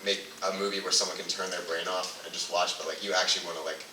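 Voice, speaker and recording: A man's voice: sits low, level tone, fast. Young guy hosting, rambly, says like a lot, noisy background. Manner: rambling, lots of "like". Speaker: host. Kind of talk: casual talk-show hosting. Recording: echoey room from far off with background noise.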